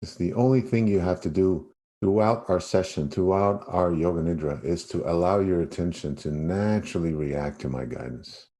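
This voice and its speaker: Deep, mellow-voiced man guiding meditation. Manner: unhurried, with spacious pauses. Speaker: yoga nidra meditation teacher. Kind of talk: guided meditation.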